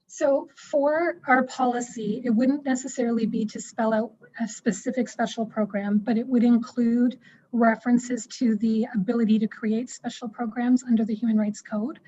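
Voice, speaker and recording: A woman's voice: fairly high pitch, steady tone, mellow, no echo. Woman explaining policy, dull call audio. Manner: measured, explanatory, with frequent "um" fillers. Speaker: school board staff presenting a human rights policy. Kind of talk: explaining policy in an online meeting.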